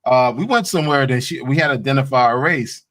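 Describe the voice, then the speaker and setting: A man from Haiti talking in a casual podcast, a voice in the middle of the male range. He tells stories with energy, repeats his words when he jumps in, and gets excited as he goes. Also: medium pitch, quick pace. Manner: animated storyteller, repeats words when cutting in. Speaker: podcast co-host. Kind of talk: casual chat.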